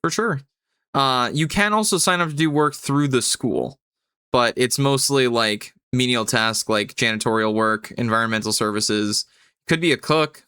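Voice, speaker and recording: A man narrates and referees a tabletop game in a crisp, bright voice. The sound is dry, and he explains things as he improvises, now and then hunting for the word. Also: mid-range voice, moderate pace, no room sound. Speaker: game master.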